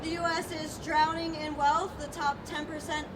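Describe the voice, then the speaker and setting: A young woman giving an earnest, measured speech at an outdoor press conference on a city street. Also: high voice, little pitch movement. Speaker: protest speaker. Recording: distant mic, loud crowd and traffic noise.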